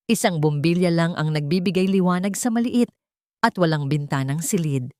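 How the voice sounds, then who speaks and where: Lower-voiced woman narrating a drama. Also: normal speed, mellow, no echo, clean studio sound. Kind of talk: dramatic scripted story narration.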